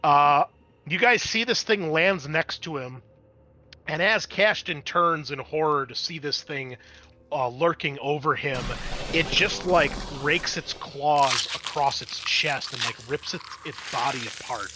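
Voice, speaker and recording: A man's voice: a little high, measured pace, background noise. A man running a tabletop roleplaying game as game master, switching between dramatic scene-setting and casual rules talk.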